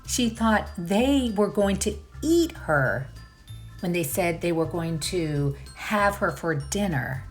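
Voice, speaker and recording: Slow-paced woman reading to children. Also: lowish voice, animated, soft background music. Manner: unhurried, addresses the listener with questions. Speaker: children's read-aloud host. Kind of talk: storybook read-aloud with asides to young listeners.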